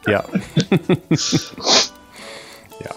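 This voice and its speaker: A man's voice: extremely slow, over music. A man hosting a podcast with a slight New England accent and a faint nasal whine, chatting casually and rambling with many restarts. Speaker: podcast host. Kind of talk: casual interview chat.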